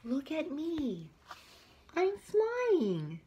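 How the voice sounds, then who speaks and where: A woman's voice: high voice, wildly swooping pitch, extremely slow, warm tone, highs cut off, a little hiss or hum, voice pushed up, full character voice. A woman voicing a big, friendly, earnest bear from a children's storybook. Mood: excited.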